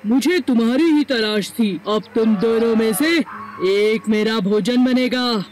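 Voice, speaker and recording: A woman voicing a hungry lion in an animated story, menacing, commanding and gloating. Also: fairly high pitch, measured pace, slight background noise, natural voice.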